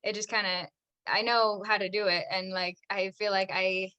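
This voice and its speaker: Bright-voiced young American woman, chatty. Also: medium pitch, level tone, moderate pace, clean tone. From United States. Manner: chatty, says "like" constantly, laughs often. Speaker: actress, podcast guest. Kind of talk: casual interview chat.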